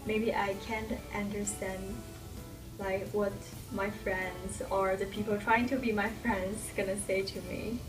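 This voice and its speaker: A young woman from China, a college student, speaking in a soft, reflective way. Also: mid-range voice, flat delivery, unhurried. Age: young adult. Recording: distant mic, music underneath.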